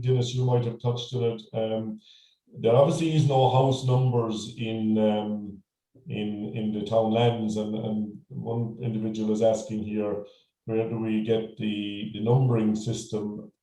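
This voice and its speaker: An Irish man hosting a local history meeting over a video call, speaking haltingly with many fillers and restarts. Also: fairly low pitch, little pitch movement, mellow, reverberant, rough recording. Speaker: meeting host. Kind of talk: hesitant moderating on a video call.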